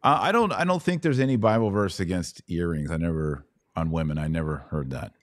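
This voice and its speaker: Deep-voiced middle-aged American man. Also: lively intonation, fairly quick, dry recording. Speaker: host and Bible teacher. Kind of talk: casual live Bible Q&A.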